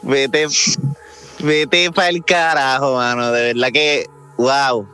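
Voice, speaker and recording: A man's voice: medium pitch, fairly even intonation, slow, pushed and bright, soft background music. A man chatting casually on a podcast, reacting in an exclamatory, slangy way with swearing. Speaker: podcast panelist.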